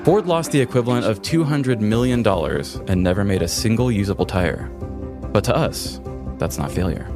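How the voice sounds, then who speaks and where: A man with a low voice and an American accent speaks as a podcast host. His delivery is mock-grandiose and sarcastic, in a comedic style. Music plays clearly behind him. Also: animated, normal speed. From American Midwest. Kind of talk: scripted comedic monologue and banter.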